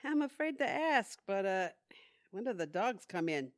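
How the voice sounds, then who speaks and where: An actress playing a wry, grumbling older woman, sardonic and deadpan, in an audio comedy. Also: medium pitch, moderate pace, no room sound, studio quality, natural voice. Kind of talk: audio theatre comedy acting.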